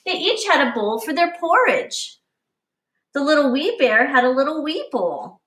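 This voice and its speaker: Expressive woman reading to children, very animated. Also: sits high, moderate pace. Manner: expressive, instructive, addressing children directly. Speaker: teacher reading aloud to young children. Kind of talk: picture-book read-aloud with teaching asides. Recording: roomy, echoing, distant microphone.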